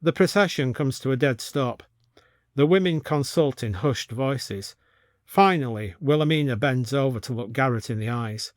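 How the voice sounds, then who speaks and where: A man's voice: medium pitch, normal speed, dry recording, studio quality. A man reading scripted narration for an audio story as the storyteller.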